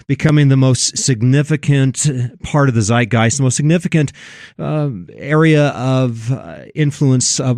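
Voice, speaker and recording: A man's voice: medium pitch, darkish tone, no room sound. A middle-aged American man hosting a broadcast from a studio, giving conversational commentary in an emphatic way and repeating phrases for stress. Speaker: radio host.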